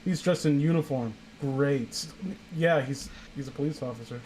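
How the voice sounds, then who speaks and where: A man's voice: mid-range voice, normal speed, darkish tone, a little hiss or hum, low-quality recording. A young man casually reading fan fiction aloud as a host, breaking off for sarcastic, sweary asides.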